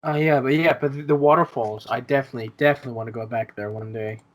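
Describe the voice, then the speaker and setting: A man's voice: medium pitch, racing. A man in his early twenties talks casually in a mid-range voice. He is a little hesitant and often repeats a word before carrying on. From South America. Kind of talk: casual chat.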